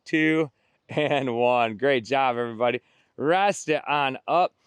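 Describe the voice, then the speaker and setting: A man's voice: mid-range voice, slow, clear, resonant tone, no echo. A middle-aged man coaching a workout outdoors, cueing form, counting reps and cheering people on. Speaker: fitness instructor. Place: outdoors on a beach.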